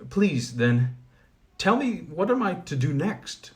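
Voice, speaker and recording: A polite, eager young boy asks his way forward in a storybook, as a male reader puts him on. The voice lifts higher than the narration and moves lively. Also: takes it slowly, heavily acted. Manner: polite, asking questions.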